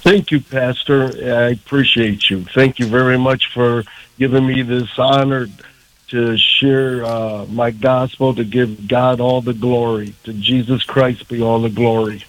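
An older man from Michigan with an American accent speaks in a medium-pitched voice. He is a radio guest sharing personal testimony in an earnest, plain-spoken, conversational way. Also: flat delivery, measured pace, a bit bright, no room sound, rough recording. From Michigan, United States. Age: 60s or older.

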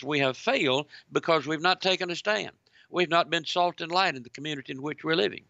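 A man's voice: a little high, no echo, highs cut off. A man hosting a talk radio show, speaking to his listeners in a preacherly way and interviewing a guest. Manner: preacherly, addresses listeners as ladies and gentlemen.

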